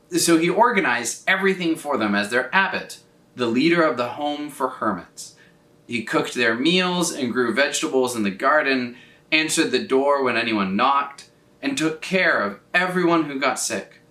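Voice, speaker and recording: A man reading a children's story aloud in an expressive, scripted style, with dramatic phrasing and drawn-out words. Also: mid-range voice. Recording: roomy echo, distant from mic.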